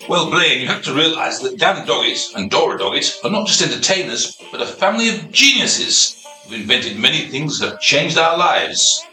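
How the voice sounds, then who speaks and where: Casting: a helpful ghost, very bright high voice, distant roomy mic. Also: male voice, big pitch swings, moderate pace, faint music behind, exaggerated voice. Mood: informative. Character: helpful ghost companion, informative.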